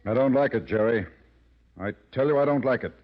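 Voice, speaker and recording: An actor playing a gruff, irritable nightclub owner and racketeer in a tough, dismissive style of 1940s radio drama. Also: male voice, medium pitch, a little fast, lightly acted. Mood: worried, irritated. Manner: tough, dismissive gangster talk. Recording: muffled old radio broadcast.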